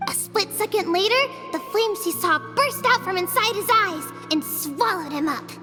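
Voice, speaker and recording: A very high, childlike girl of a tribe telling an old legend in an earnest, matter-of-fact way. The voice sits in a long reverb with clear music underneath, like a game cutscene. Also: animated, normal speed. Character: young girl of a tribe, storyteller. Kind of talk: game dialogue voice acting.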